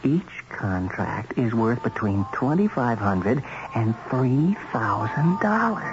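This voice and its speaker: An American actor playing a smooth magazine editor who recruits contract killers in a 1970s radio drama, insinuating and matter-of-fact with casual hesitations. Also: male voice, mid-range voice, big pitch swings, takes it slowly. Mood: coolly persuasive. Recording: muffled old radio broadcast, music bed.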